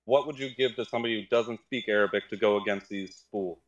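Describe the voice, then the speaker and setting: A man's voice: fairly low pitch, quick pace, highs cut off. A man calling in to a live stream, asking a question in a casual, enthusiastic way with lots of fillers. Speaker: caller.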